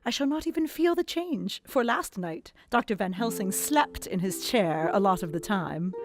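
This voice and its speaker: A woman's voice: mid-range voice, lively intonation, moderate pace, lightly acted. A woman reading a young lady's diary over music. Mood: hopeful. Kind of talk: radio play narration. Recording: music playing underneath.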